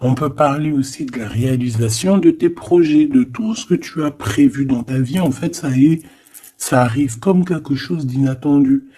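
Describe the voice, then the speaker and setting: A man's voice: mid-range voice, moderate pace, mellow. A man giving a casual, improvised tarot reading, speaking familiarly to the listener with hesitant, repetitive phrasing and frequent tag words. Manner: hesitant, repeats phrases, frequent "hein" tags, familiar address. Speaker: tarot card reader, host.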